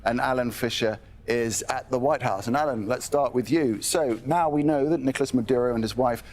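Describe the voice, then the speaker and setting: A man's voice: medium pitch, brisk, a little mellow. A male news anchor handing over to correspondents and putting questions to them in a polished broadcast manner.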